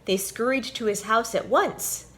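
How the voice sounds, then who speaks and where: A woman presenting and reading a children's story aloud in an expressive, clearly told storytelling manner. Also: fairly high pitch, a little slow.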